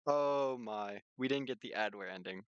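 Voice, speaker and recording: A low-voiced man streams a visual novel, recorded close and dry. He reads the narration aloud and slips into casual commentary, occasionally tripping over a written line and starting it again. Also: normal speed, clear, resonant tone, no echo, muffled recording. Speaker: video game streamer.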